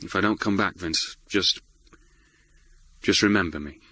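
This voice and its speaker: An actor playing a pompous, self-important older zookeeper, grandiose and dramatic in a surreal comedy. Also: male voice, low voice, sharp tone, no room sound, exaggerated voice. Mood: solemn, sentimental. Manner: grandiose, drawn-out dramatic storytelling. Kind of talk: deadpan surreal sitcom dialogue.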